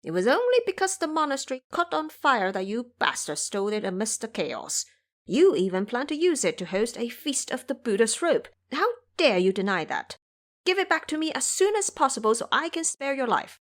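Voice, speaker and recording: A woman voicing a boastful male monkey king warrior who taunts and threatens his foes loudly. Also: fairly high pitch, very animated, moderate pace. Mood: defiant. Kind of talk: audio drama voice acting.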